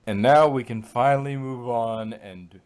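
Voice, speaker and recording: A man's voice: mid-range voice, measured pace, darkish tone, clear, resonant tone. A man chatting casually as the co-host of a show. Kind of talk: casual chat.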